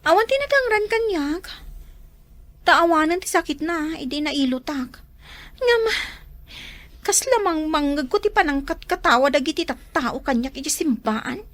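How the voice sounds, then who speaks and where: Woman as embarrassed young girl, Ilocano Filipino accent, very high bright voice, animated, drama acting. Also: moderate pace, no echo. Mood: hurt, tearful. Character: hurt, embarrassed young girl, Lisa, granddaughter.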